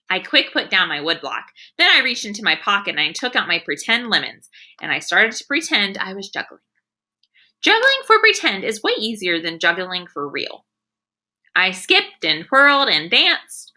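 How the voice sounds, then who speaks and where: A woman's voice: on the high side, sharp tone, heavily acted. A woman reading as a spirited, quirky young schoolgirl who tells her story with childlike, emphatic enthusiasm.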